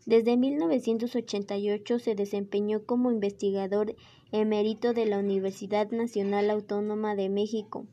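A woman's voice: a little high, dark tone. A young woman, a university student, reading a school presentation aloud and restarting words she stumbles over. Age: young adult.

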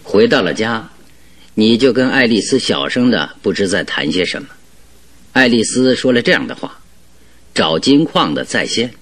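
Give the detective a lively, wide-ranging delivery with a husky edge. He is shrewd, genial and self-assured, laying out deductions expansively as in a Mandarin radio play. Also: fairly low pitch, normal speed, full character voice. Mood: confident, explanatory. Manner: chuckling, expansive, leisurely explaining his deductions. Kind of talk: Mandarin radio drama acting.

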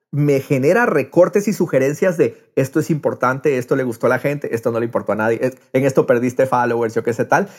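A man with a slightly husky voice talking casually and emphatically as the host of a podcast. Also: fairly high pitch. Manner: animated, emphatic, opinionated. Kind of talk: casual conversational podcast talk.

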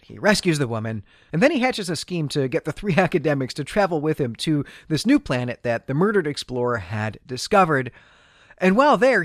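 A man's voice: mid-range voice, fairly bright tone, dry recording, studio quality. A man hosting a podcast, explaining and discussing books in an articulate, scholarly way. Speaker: podcast host. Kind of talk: literary discussion and book recap.

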